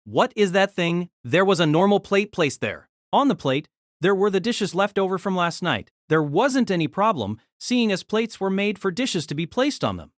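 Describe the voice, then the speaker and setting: A man narrating a novel in an audio drama, steady storytelling with lively inflection. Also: sits high, normal speed, dry recording, clean studio sound.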